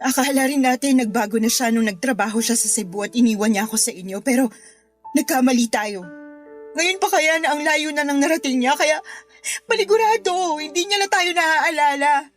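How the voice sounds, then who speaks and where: High-pitched, wounded young granddaughter, acted by a woman. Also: fairly quick, faint music behind, lightly acted. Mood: hurt, bitter. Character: hurt young granddaughter, devoted yet bitter. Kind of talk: Tagalog radio drama acting.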